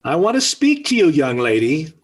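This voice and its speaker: A man's voice: fairly high pitch, wildly swooping pitch, moderate pace, breathy edge, heavily acted. A man playing a grumpy, exasperated middle-aged father in a 1940s radio sitcom. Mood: indignant. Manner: indignant, blustering.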